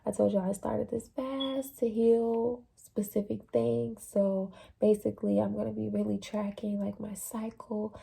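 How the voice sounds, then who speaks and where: A young adult woman talking casually about her experience in a personal vlog, relaxed and chatty with plenty of fillers. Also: medium pitch, a little slow, creaky, hardly any pauses, breathy edge. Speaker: vlogger sharing a fasting journey.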